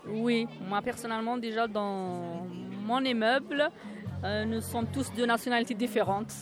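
A woman's voice: fairly high pitch, moderate pace. A woman from Kabylie whose first language is Berber, answering interview questions with many hesitations at an outdoor neighbourhood party. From Kabylie, Algeria. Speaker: resident and event volunteer. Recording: outdoor party with music and crowd.

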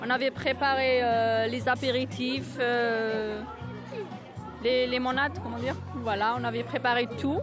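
A woman's voice: on the high side, fairly even intonation, a little slow. A woman from Kabylie in Algeria, with Kabyle as her first language, answering interview questions hesitantly at an outdoor neighborhood festival. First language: Kabyle Berber. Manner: hesitant, many fillers. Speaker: interviewee, local resident volunteer. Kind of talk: casual outdoor street interview. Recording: outdoor recording with music and crowd behind. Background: music and crowd.

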